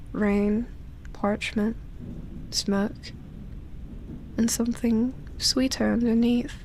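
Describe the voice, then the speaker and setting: An ancient vampire woman, played by a female voice actor, speaks very slowly and softly, often near a whisper. She is tender, lonely and seductive, pausing as if hesitant, in an intimate roleplay drama. Ambient noise sits clearly behind her voice. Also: on the high side, poor audio. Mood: affectionate, teasing. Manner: slow, hesitant, sighing delivery with long pauses.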